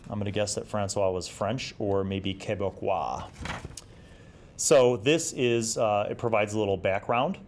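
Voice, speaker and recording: A man's voice: on the low side, unhurried, a little hiss or hum. A middle-aged man hosting a review series, talking casually and enthusiastically in an informal, rambling way as he pages through material. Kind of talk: casual chatty magazine review, commenting while paging through.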